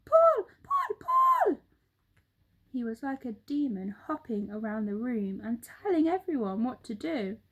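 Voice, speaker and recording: A woman narrating a children's storybook aloud in an expressive, dramatic manner. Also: fairly high pitch, normal speed, few pauses. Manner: animated, dramatic read-aloud.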